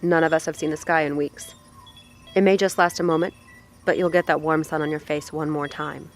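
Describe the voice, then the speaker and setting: A woman playing a composed doctor who leads a grim experiment with gentle authority in an audio drama. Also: low-pitched, fairly even intonation, fairly quick, soft background music. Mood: gentle, solemn.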